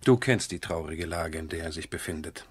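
An actor playing an eager, persuasive aristocratic husband in a radio drama, speaking in an earnest, coaxing, gentlemanly way. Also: male voice, extremely low pitch, fairly quick, slightly rough, no room sound. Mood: hesitant, coaxing. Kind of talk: 1970s German radio drama acting.

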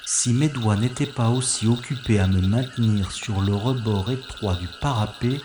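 A man's voice: on the low side, normal speed, reverberant, background noise, natural voice. A man voicing a wry, self-mocking secret agent who narrates his own mission in an audio drama. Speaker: first-person narrator-hero. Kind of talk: audio drama inner monologue.

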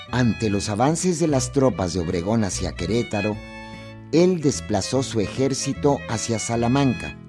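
A man narrating a scripted historical radio drama. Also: mid-range voice.

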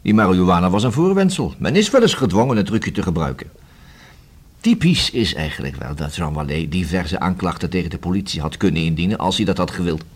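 An actor in a Dutch radio play as a courteous, persistent police investigator, speaking in a formal, long-winded, deliberate way. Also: male voice, deep voice, lively intonation, breathy edge. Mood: measured, insistent.

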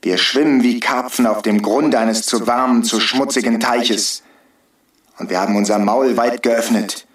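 An actor playing a bitter, taunting rebel hostage-taker who preaches and needles in a radio drama. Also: male voice, mid-range voice, steady tone, unhurried, natural voice. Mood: solemn preaching. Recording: roomy, distant from the mic.

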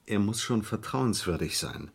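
A dry, husky-voiced lawyer. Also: male voice, lowish voice, animated, exaggerated voice. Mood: sceptical. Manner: measured, dry, thoughtful hesitations. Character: dry, wary, obliging male lawyer. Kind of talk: radio crime drama acting.

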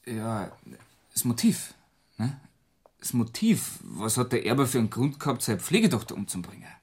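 A Bavarian police inspector in a period radio drama. His voice is a little husky and sits at a middle pitch. He questions people insistently and probingly. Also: very animated, moderate pace. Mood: sober, doubtful. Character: persistent male police inspector in 1920s Bavaria. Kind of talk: radio crime drama acting.

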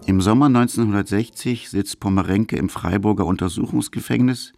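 A man's voice: lowish voice, fairly quick, vocal fry, darkish tone, soft background music. A man narrating a radio documentary from a script.

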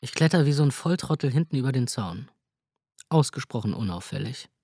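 An actor performing a radio drama monologue, a writer narrating in the first person with a sardonic, scornful tone. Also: male voice, mid-range voice, animated, a little fast, darkish tone, husky, dry recording, clean studio sound.

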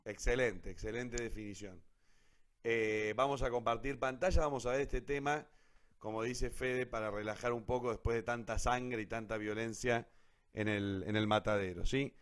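A man's voice: mid-range voice, fairly even intonation, normal speed, smooth. A man with an Argentine accent casually hosting a cultural programme, speaking off the cuff. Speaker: host of a cultural radio theatre programme.

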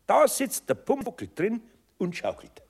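Lively older Bavarian craftsman. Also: high-pitched, unhurried, vocal fry, breathy edge, full character voice. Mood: patient, firm. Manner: homely, patient, gently grumbling. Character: good-natured, grumbling older male master carpenter. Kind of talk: children's radio play acting.

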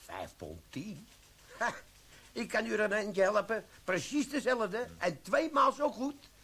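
A husky, wheedling army sergeant. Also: male voice, sits high, sing-song, slow, sharp tone, exaggerated voice. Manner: cheeky, wheedling banter. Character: sly, glib male army quartermaster sergeant. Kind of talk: radio play acting.

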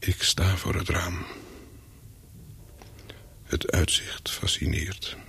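Wary English hotel guest, very husky, low-pitched, measured, reminiscing, radio drama. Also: male voice, fairly even intonation, a bit bright, whispered, full character voice. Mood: brooding, reflective. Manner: measured, brooding, clipped short sentences. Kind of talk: radio play, first-person narration with dialogue.

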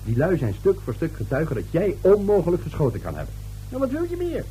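Brusque middle-aged father, swinging pitch. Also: wildly swooping pitch, quick pace, warm tone, slight background noise, poor audio. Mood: dismissive. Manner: brusque, scoffing. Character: domineering, dismissive middle-aged father and husband. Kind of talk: radio play acting.

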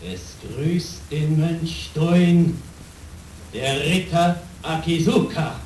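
Proud knight, slow and declamatory. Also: male voice, fairly high pitch, a little mellow, a little hiss or hum. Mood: formal, probing. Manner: ceremonious, declamatory. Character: proud, formal, urgent samurai knight on a quest. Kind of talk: German radio play acting. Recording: echoey, distant radio drama, music behind.

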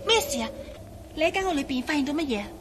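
A woman's voice: high voice, animated, rapid-fire, very noisy. An actress playing a plucky, independent, slightly impatient young woman in a Cantonese radio drama, with a Hong Kong accent. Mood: puzzled.

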